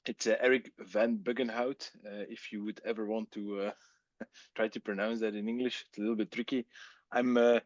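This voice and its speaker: A Belgian man whose first language is Dutch, with a Flemish accent, presenting a technical webcast in a relaxed, chatty way with many fillers. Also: lowish voice, moderate pace, no room sound, dull audio.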